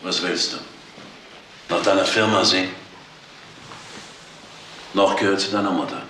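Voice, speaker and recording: A cold, controlling man in a crime radio play. His deep voice sounds a little away from the mic, with obvious noise. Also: steady tone, measured pace, bright, forceful tone, distant mic, low-quality recording. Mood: cold, pressuring. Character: domineering, cold, controlling male businessman. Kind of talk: naturalistic German radio crime drama.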